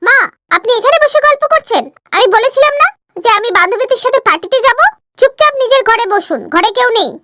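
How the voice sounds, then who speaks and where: A woman's voice: very high-pitched, lively intonation, quick pace, pushed and bright, no echo, clean studio sound, voice pushed up, full character voice. A woman voicing a brash, curt young city daughter-in-law in a cartoon. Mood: bossy. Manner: curt, ordering.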